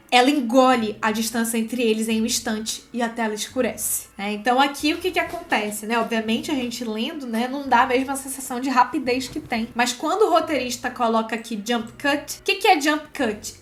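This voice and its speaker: A Brazilian woman hosting a screenwriting lesson, chatty and expressive, moving between casual explanation and reading from a script. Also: fairly high pitch, normal speed. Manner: expressive and chatty, frequent check-in tags. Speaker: screenwriting teacher and video host. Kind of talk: casual explanatory commentary with script read-aloud.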